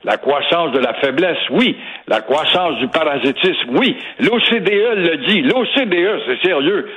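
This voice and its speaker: A man's voice: on the high side, animated, moderate pace, fairly bright tone, breathy edge, highs cut off. A man with a Québécois accent giving opinionated, sarcastic commentary as a regular radio guest. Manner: sarcastic, mocking repetition.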